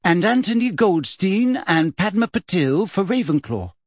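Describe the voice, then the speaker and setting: A man voicing a bossy, principled teenage witch girl with a sharp, indignant manner in an audiobook story. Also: high voice, big pitch swings, unhurried, slightly rough, no echo, pitched above the performer's own voice, heavily acted. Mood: disgruntled.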